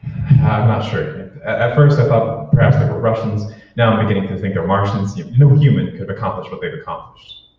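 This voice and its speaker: A man's voice: fairly low pitch, a little fast, natural voice. A man playing an anxious, furtive conspirator in an old-time radio drama, with a hesitant, secretive delivery. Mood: nervous, secretive. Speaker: amateur stage actor. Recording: roomy, distant, dull hall recording with hiss.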